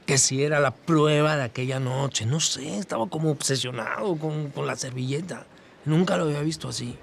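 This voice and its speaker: A man's voice: a little high, normal speed, vocal fry, a little hiss or hum. A man playing a bemused cleaning worker, giving hesitant, matter-of-fact testimony in an audio documentary drama. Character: bemused cleaning worker recalling a strange morning. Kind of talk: casual acted documentary testimony.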